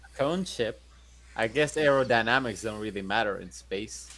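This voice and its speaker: A man's voice: on the low side, moderate pace, fairly bright tone, a little hiss or hum. A man playing in a tabletop game, chatting casually and making playful jokes. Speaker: player.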